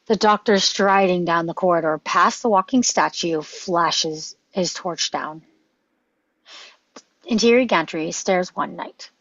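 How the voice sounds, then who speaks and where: A woman narrates stage directions dramatically in a lowish, husky voice. The sound is very dry and a little muffled, like a modest microphone. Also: takes it slowly, a bit bright, no room sound.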